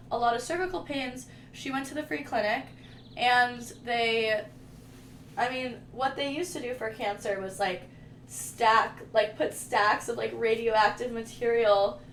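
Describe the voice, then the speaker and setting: Young woman vlogger, rambling casual chat, slightly high voice, faint background noise. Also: fairly bright tone. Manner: rambling, lots of "like", informal slang. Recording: echoey room, mic at a distance, faint noise.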